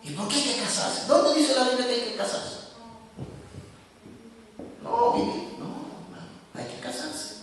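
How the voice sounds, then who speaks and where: A man's voice: extremely high pitch, very animated, unhurried, pauses often, fairly bright tone, a little hiss or hum. A man preaching a sermon as a pastor, talking directly to his congregation in a conversational way. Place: church hall. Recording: echoey hall from far off.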